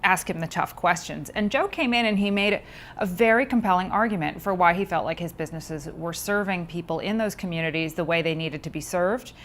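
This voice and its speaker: A middle-aged woman, a researcher and author, answering interview questions in a thoughtful, measured way with frequent ums. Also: fairly low pitch, a bit bright, slight background noise.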